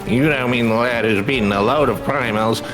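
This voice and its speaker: A man's voice: mid-range voice, fairly quick, music in the background. A man casually reading game dialogue aloud in character, as a co-player.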